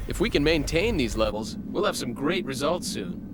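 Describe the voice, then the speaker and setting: An actor voicing an earnest, dutiful young lab assistant in a video game dub, deferential yet protesting. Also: male voice, on the high side, very animated, moderate pace, over loud music. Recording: noisy game soundtrack ambience.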